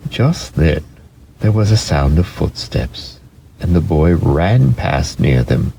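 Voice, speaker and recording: A man giving a dramatic storybook narration in an expressive, deliberate way. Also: very deep voice, gravelly, a little hiss or hum, poor audio.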